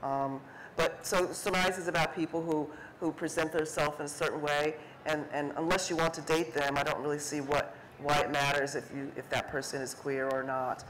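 A woman's voice: low voice, moderate pace, slight background noise, poor audio. Chatty middle-aged woman on a panel stage. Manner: relaxed and chatty, many fillers and restarts, acts out quoted remarks. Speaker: artist speaking on a panel. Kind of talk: conversational talk on stage. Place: stage at an art fair talk, indoors before an audience.

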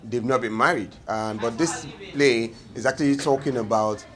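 Halting Nigerian man in his thirties. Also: mid-range voice, a crowd in the background. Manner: halting, searching for words. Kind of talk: interview explanation. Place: indoor room.

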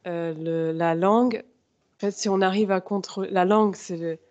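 A woman asking a hesitant, halting question in a class. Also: lowish voice, fairly even intonation, darkish tone, muffled recording.

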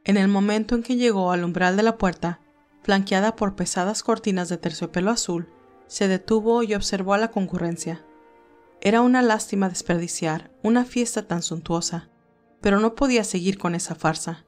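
A woman's voice: mid-range voice, moderate pace, darkish tone. Woman narrating a romance story over music.

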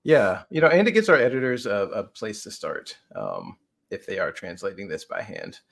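A man's voice: fairly low pitch, very animated, normal speed, creaky, fairly bright tone. A man in his thirties explaining a product on a video call as a conversational co-presenter. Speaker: software demo co-presenter.

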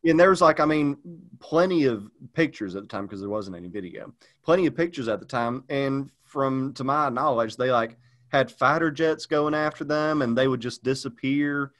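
A man's voice: mid-range voice, fairly bright tone. A man chatting casually on a podcast, rambling with lots of fillers and restarts. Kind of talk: casual chat.

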